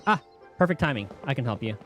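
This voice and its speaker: Male streamer, quick chatty delivery, lively pitch swings, offhand game commentary, music behind. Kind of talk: casual live game commentary.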